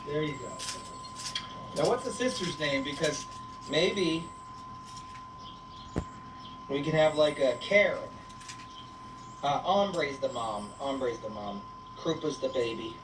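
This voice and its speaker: Lively woman livestreaming from a noisy farm. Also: sits low, slow pace, pauses often. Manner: playful, teasing, talks to viewers and animals. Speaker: livestream host on a goat farm. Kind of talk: casual livestream chat. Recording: echoey, noisy farm livestream, distant mic.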